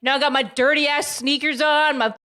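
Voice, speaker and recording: Animated, laughing young woman streamer. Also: high-pitched, quick pace. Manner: animated, laughing, swears and mimics others. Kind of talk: casual reaction stream chat.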